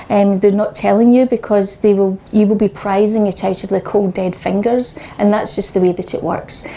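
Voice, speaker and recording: A woman's voice: mid-range voice, gravelly, warm tone. A woman in her forties with a Scottish accent, giving a conversational, wry technical talk to a meetup audience on a microphone. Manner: conversational, wry and self-deprecating. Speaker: conference speaker. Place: meetup venue with a live audience. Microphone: handheld microphone.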